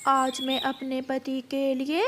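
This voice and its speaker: A woman voicing a sweet, devoted female pigeon in a cartoon, gentle and affectionate. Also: high voice, monotone, takes it slowly, a little hiss or hum. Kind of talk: Hindi animated bird fable acting.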